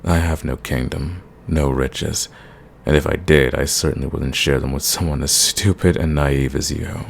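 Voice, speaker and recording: A man playing an ancient, sadistic, condescending vampire in an ASMR roleplay, speaking in a theatrical, measured way and drawing out his words. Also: very deep voice, fairly even intonation, vocal fry, a little hiss or hum. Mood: amused, condescending.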